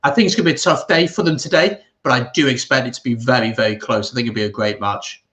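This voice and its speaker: A man's voice: mid-range voice, fast talker, fairly bright tone. Man giving casual sports analysis. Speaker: guest pundit on a rugby watch-along.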